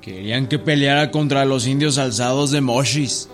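A man's voice: medium pitch, moderate pace, faint music behind, natural voice. An actor playing a talkative young working-class townsman with a Mexican accent. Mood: chatty.

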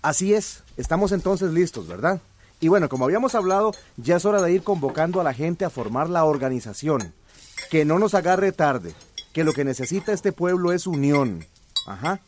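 A man's voice: on the high side, sing-song, moderate pace, a little mellow. A man playing a practical village son and community organiser in a radio drama. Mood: earnest. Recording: faint background noise, clinking dishes.

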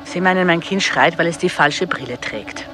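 A woman's voice: on the low side, normal speed, over music, close to an everyday voice. An actress playing a resolute, matter-of-fact mother in a German radio crime drama. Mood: wary, direct. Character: resolute, cool mother willing to kill for money. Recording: faint ambient noise behind.

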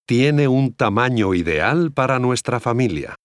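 Slow, expressive man modelling phrases. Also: medium pitch, no echo, clean studio sound. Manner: deliberate, clearly enunciated. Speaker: language course model speaker. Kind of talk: scripted phrase modelling for learners.

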